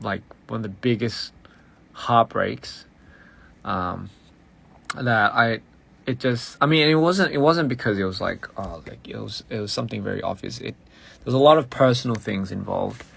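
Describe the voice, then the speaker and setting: Young male musician, low gravelly voice, fast and rambling, casual stream chat. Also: fairly bright tone. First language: English. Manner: rambling, halting, full of "like" and restarts; switches between English and Korean.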